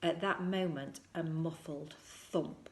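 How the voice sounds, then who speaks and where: A woman with a slightly low voice reads a children's story aloud. She moves between narration and acted dialogue. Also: fairly even intonation, slow pace, background noise. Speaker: teacher reading aloud.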